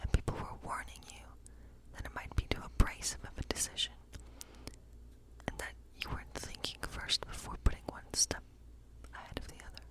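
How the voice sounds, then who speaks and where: A gentle cottage witch, played by a woman in an ASMR fantasy role play. She speaks entirely in a soft, breathy whisper. Her delivery is unhurried and musing, as if quietly reading tarot at night. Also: lightly acted, poor audio. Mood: gentle, playful.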